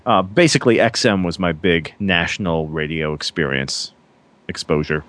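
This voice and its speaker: Low-voiced guy in his 30s, radio dj on a podcast, lots of uhs and restarts, just chatting. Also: moderate pace, fairly bright tone. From Massachusetts. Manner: rambling, many fillers and restarts. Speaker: radio DJ guest. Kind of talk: casual interview chat.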